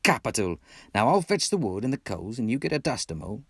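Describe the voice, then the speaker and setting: A man voicing a cheery, brisk male rat in a storybook, hearty and encouraging, with a put-on British accent. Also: medium pitch, sing-song, fairly bright tone, slightly rough, dry recording, studio quality, heavily acted. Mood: cheery, bustling. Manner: hearty, exclaiming, bossily encouraging.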